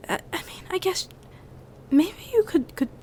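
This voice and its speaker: A woman's voice: extremely high pitch, lively intonation, a little slow, warm tone, a little hiss or hum, voice pushed up. A woman voicing a nervous, stammering male spirit summoner in a tabletop game. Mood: nervous, defensive. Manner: stammering, hesitant.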